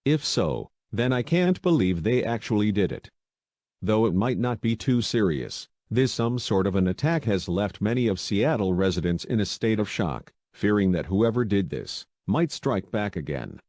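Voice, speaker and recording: A man's voice: lowish voice, expressive, normal speed, darkish tone, dry recording, lightly acted. A man playing a local TV news anchor, reading a scripted, serious breaking-news bulletin. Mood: grave, concerned. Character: male local TV news anchor reporting an attack.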